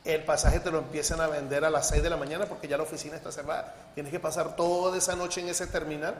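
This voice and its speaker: A man's voice: on the high side, little pitch movement, quick pace. Caracas-accented man, hesitant, echoey studio. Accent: Caracas Venezuelan. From Venezuela. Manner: hesitant, many fillers. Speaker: guest businessman. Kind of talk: interview answers. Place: TV news studio. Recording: echoey studio with faint hiss.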